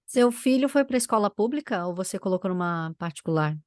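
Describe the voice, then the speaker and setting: A woman's voice: mid-range voice, expressive, fairly quick, darkish tone, dry recording, clean studio sound. A woman hosting a podcast, asking casual questions with friendly, teasing remarks. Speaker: podcast host.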